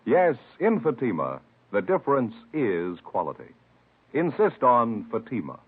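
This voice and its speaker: A man's voice: medium pitch, huge pitch swings, unhurried, slightly rough, phone-quality sound. A man announcing a 1950s radio commercial in an emphatic, salesmanlike style. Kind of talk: 1950s radio cigarette advertising pitch. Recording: band-limited vintage radio recording.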